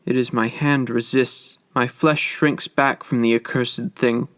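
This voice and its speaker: A man's voice: mid-range voice, fairly even intonation, a little slow, no room sound, studio quality, lightly acted. A man reading aloud and voicing a desperate young wife who pleads breathlessly and urgently.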